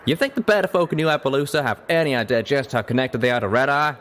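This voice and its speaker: A man's voice: medium pitch, quick pace, fairly bright tone, voice pushed up, natural voice. A man voicing an earnest, folksy pegasus cowboy pony in a storybook reading. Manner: drawling, folksy.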